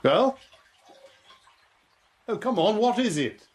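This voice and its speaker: An actor playing a patronising, shifty middle-aged army major in a radio drama. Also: male voice, high-pitched, big pitch swings, slow pace, exaggerated voice. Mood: impatient. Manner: clubby, patronising, hesitant bluster. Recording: broadcast radio drama with faint noise.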